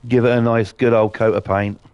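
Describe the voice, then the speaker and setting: A man hosting casual gameplay commentary, blunt and defiant, his voice croaky from a cold. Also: fairly low pitch, level tone, fairly quick, darkish tone, no room sound, muffled recording. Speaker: gaming channel host.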